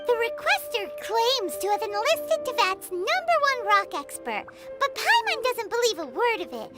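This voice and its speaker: A tiny, excitable fairy companion for a video game. The voice is squeaky and childlike, pitched extremely high, swinging brashly and brightly up and down, with prominent music behind it. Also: female voice, moderate pace. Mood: eager. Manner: bubbly, brash, speaks of self in third person. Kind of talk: video game character acting.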